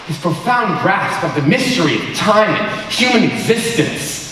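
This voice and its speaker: Young man acting eager, high-pitched awkward suitor, echoing. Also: sing-song, moderate pace, pushed and bright, recorded from a distance, exaggerated voice. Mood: enthusiastic. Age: teenager or young adult high school student. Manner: projected, eager stage delivery. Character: Bill, eager, awkward young man making small talk. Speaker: student actor in a high school one-act play. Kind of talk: stage comedy acting. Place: high school auditorium stage. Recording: echoey auditorium recorded from afar, noisy.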